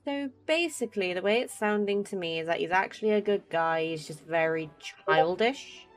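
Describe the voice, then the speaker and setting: Young woman, gaming streamer: slightly low voice, casual and self-deprecating, game music faintly underneath. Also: animated, moderate pace. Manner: wry, slangy, self-deprecating. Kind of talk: casual playthrough commentary.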